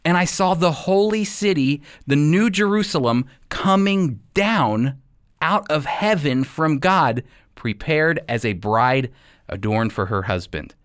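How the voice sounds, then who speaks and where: A man hosting a radio program as a Bible teacher, speaking with deliberate, emphatic phrasing. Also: a little high, lively intonation, fairly bright tone, clear, resonant tone.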